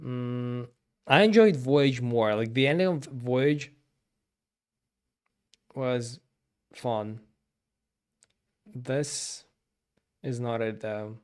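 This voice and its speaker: A game streamer chats casually on a live stream. The voice is mid-pitched and slow-paced, with informal opinionated commentary. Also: clean tone.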